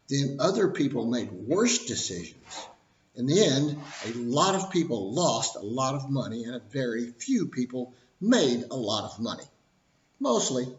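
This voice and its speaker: A man tells an explanatory tale in a highly animated, mid-pitched voice. He lays things out simply and deliberately, with wry asides. The recording is dull and echoes with the room. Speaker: storyteller explaining history and finance. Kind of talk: scripted explanatory narration told as a fairy tale. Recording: dull, roomy, echoing sound.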